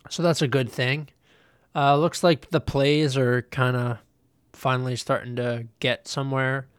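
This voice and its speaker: Young male host, nasal head cold, rambling, middle-pitched, dry close recording. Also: a little slow, smooth, no room sound. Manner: rambling, relaxed, with many ums and uhs. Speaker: podcast host. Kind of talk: casual solo podcast chat.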